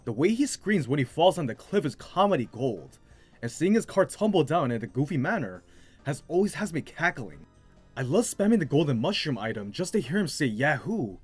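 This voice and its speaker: A man's voice: on the high side, big pitch swings, normal speed, breathy edge. A man delivering scripted narration as the host of a video game commentary. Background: music.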